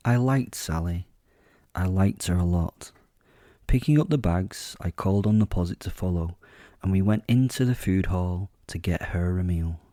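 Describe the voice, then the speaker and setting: A man's voice: low-pitched, moderate pace, dark tone, dry recording, clean studio sound, lightly acted. A man playing a wry werewolf killer who narrates his own story in a first-person audio drama. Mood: wry, observant.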